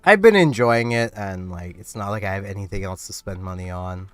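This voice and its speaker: A man's voice: low voice, animated, a little fast, a bit bright, clean tone, no echo. A man, sounding a bit congested from a cold, chatting casually and self-mockingly while streaming a game. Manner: rambling, self-mocking, laughs often. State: congested, getting sick. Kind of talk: casual gameplay commentary.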